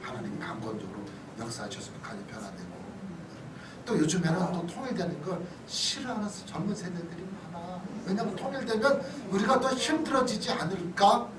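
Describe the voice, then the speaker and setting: A man's voice: high voice, big pitch swings, a little fast, a loud crowd. A middle-aged man, a pastor, giving a conversational sermon-like lecture, often checking in with his listeners. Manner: conversational, punctuated with short checking questions to listeners. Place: church hall. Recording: distant mic in echoey room, noisy.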